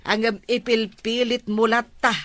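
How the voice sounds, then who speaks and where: A woman's voice: on the high side, little pitch movement, a little slow, lightly acted. A Filipino woman playing a worried, disapproving mother in an audio drama, speaking in a weary, reproachful manner. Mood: hurt, reproachful. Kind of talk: emotive audio drama acting.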